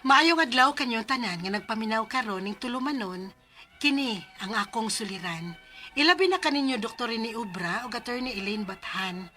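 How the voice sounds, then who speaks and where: A woman acting an earnest, caring adult daughter in a radio drama, emphatic and expressive. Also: on the high side, moderate pace, faint music behind. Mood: earnest, formal.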